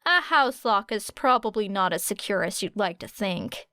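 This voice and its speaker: A woman voicing a cool, wry teenage girl with a deadpan, matter-of-fact manner in an audiobook story. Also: mid-range voice, normal speed, dry recording, clean studio sound.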